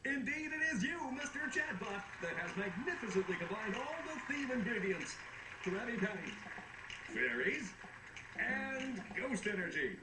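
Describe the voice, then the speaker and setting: Grandiose high-pitched contest announcer. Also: male voice, very animated. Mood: effusive. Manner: pompous, drawn-out showmanship. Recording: echoey, distant, loud noise behind.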